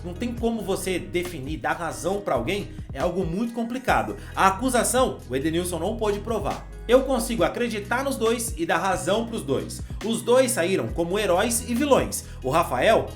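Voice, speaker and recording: A man's voice: high-pitched, sing-song, moderate pace, hardly any pauses, soft background music. Brazilian man giving casual football commentary. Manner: conversational, addresses viewer with slang. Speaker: football channel host.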